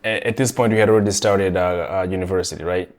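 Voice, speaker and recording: Young adult man, podcast host, born in Rwanda; low, casual, chatty voice. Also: fairly even intonation, fast. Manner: chatty, self-correcting, frequent restarts. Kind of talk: casual conversation.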